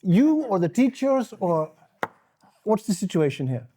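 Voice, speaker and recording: A man interviewing students, asking questions in a relaxed, conversational way. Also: high voice, unhurried, a little hiss or hum.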